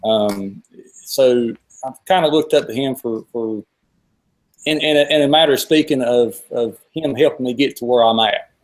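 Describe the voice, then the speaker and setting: Man, forties, Southern US accent, mid-pitched voice, casual rambling live-stream host, repeats himself. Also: moderate pace, poor audio. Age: 40s.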